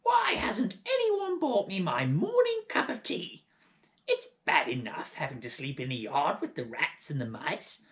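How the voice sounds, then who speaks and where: A man's voice: sits high, voice pushed up, exaggerated voice. A man doing a shrieking, furious old grandmother. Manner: screeching, bullying, self-pitying. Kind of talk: storybook read-aloud acting.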